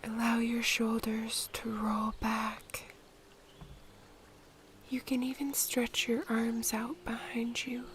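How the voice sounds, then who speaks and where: A woman guiding a meditation in a soft, breathy, whispery voice, with a soothing, gentle and measured manner. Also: fairly high pitch, level tone, slight background noise. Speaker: meditation guide. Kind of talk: guided meditation, soft-spoken narration. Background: music.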